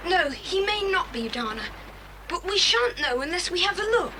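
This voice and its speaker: A woman playing a resolute, sensible boy who leads the others in a children's adventure drama, brisk and commanding. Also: very high-pitched, big pitch swings, over a noisy crowd.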